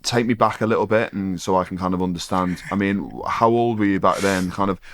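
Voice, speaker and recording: A man's voice: low voice, steady tone, fairly quick, slight background noise. A man hosting a podcast, chatting eagerly and casually with his guest, calling him bro. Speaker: podcast host.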